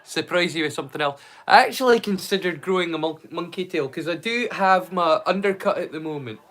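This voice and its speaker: A man's voice: high voice, fairly quick, fairly bright tone. A man hosting a casual livestream, chatting to viewers in a rambling, stop-start way and repeating words mid-sentence. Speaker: livestream host.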